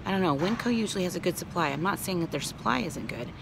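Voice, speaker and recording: Hoarse low-pitched woman vlogger. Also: lively intonation, quick pace, background noise. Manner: chatty, talks straight to viewers with asides. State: hoarse from a cold, losing her voice. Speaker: vlogger showing a grocery haul. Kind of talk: casual chatty vlog talk.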